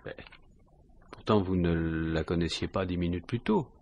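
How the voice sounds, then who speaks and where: Matter-of-fact police inspector, noisy recording. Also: male voice, low-pitched, normal speed, a little mellow. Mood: businesslike. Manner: informal, matter-of-fact questioning. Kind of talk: French radio crime drama acting. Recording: noisy vintage radio recording.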